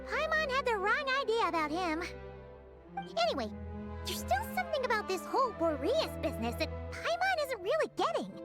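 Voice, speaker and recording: An excitable little fairy companion chatters in a very high, sing-song voice that leaps up and down. She refers to herself in the third person. Loud game music plays under the game dialogue. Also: fairly quick. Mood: curious. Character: tiny chirpy floating fairy companion girl.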